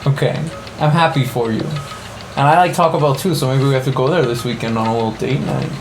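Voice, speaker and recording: A man's voice: mid-range voice, brisk. Easygoing man bantering over a video game. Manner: short agreeing replies, easygoing banter. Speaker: video game let's player. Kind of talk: casual game commentary. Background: game music.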